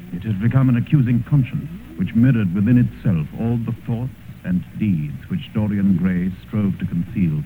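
Male actor as worldly cynical gentleman, very low voice, suave and eloquent, for vintage radio drama with narrow, muffled sound. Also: slight background noise, natural voice. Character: worldly, cynical gentleman friend, urbane narrator. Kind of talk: radio drama acting and narration.

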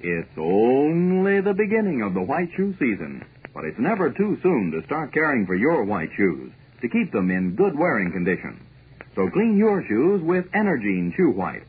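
Very animated male radio ad announcer. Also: medium pitch, moderate pace. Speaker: advertising announcer. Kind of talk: 1940s radio commercial. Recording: tinny, hissy 1940s radio recording.

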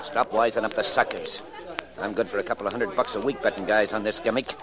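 A man's voice: lowish voice, fairly even intonation, quick pace, crowd noise, close to an everyday voice. A man playing a sly, menacing small-time betting hustler with streetwise slang in an old-time radio crime drama. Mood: threatening.

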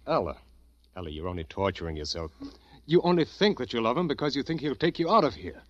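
An actor playing a lovesick, anxious young man who pleads haltingly in the emotional style of 1940s radio drama. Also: mid-range voice, sing-song, dry recording, dull audio. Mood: desperate pleading. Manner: halting, emotional, repeats himself when agitated. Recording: old radio recording, faint music.

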